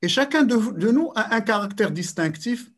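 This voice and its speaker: An older man giving a lecture over a video call, measured and repeating words as he searches for phrasing. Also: high-pitched, lively intonation, fairly bright tone. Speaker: sports science speaker. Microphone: webcam on video call.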